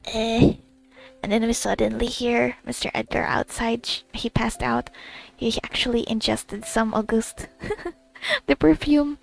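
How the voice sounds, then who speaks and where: A woman gamer giving a casual, halting, rambling recap over her gameplay. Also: on the high side, vocal fry, faint music behind, rough recording. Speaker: gamer recording her playthrough.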